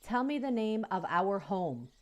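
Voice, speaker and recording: A woman's voice: mid-range voice, very animated, unhurried. A woman voicing a young male goose, anxious and hopeful. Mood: doubtful.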